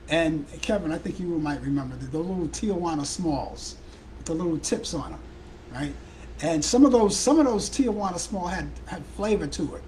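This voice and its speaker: A man from Brooklyn with a Brooklyn accent hosting a live talk show, chatting casually in a hoarse, worn-out voice. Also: high voice, slight background noise, poor audio. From Brooklyn, New York. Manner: rambling, chatty, addresses viewers and callers by name.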